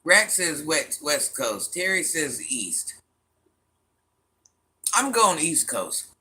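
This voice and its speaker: A deep-voiced middle-aged woman hosting a casual livestream, reading viewer comments aloud and talking back to them bluntly and with sass. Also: very animated, slow, bright, forceful tone. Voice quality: deep, smoky voice. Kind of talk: casual live chat.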